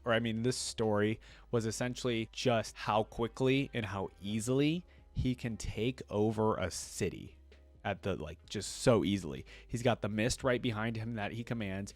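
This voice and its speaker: Low-voiced man, close dry sound. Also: dry recording. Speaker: reaction video host. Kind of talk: reading game lore aloud with casual commentary.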